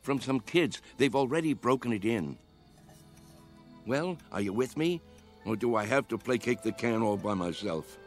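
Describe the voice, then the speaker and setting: A man's voice: mid-range voice, animated, normal speed, close to an everyday voice. An actor playing an earnest, persuasive old man with a dreamer's young heart in a radio drama. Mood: coaxing. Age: older. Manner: earnest, persuasive, challenging with questions. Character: old man, impassioned youthful-hearted dreamer coaxing others to play. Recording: radio drama with music underneath.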